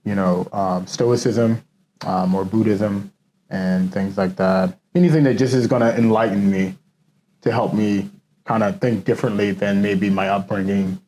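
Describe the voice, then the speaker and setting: A man's voice: fairly low pitch, fairly even intonation, normal speed, dark tone, slight background noise, low-quality recording. A man talking as the guest in a casual interview, reflective and loose, with many fillers.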